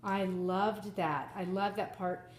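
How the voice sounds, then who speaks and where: Echoey room, middle aged lady chatting about a storybook, expressive, asks questions, normal pitch. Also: slow. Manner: expressive, engaged, asking questions. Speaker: daughter listening and commenting on a story. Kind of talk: casual chat about a picture book. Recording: roomy echo around the voice.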